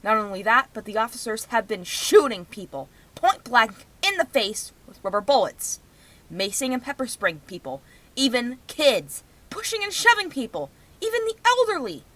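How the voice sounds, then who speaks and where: A woman's voice: fairly high pitch, sing-song, normal speed, a bit bright. A young adult woman giving rambling personal commentary in a vlog, speaking in an emphatic, outspoken way. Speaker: vlogger.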